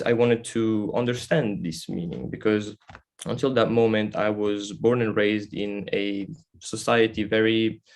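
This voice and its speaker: A man with an Italian accent gives an informal talk in a fairly low voice with a strong gravelly creak. His delivery is halting and reflective as he explains things as a guest speaker. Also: darkish tone. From Italy. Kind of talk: informal spoken presentation.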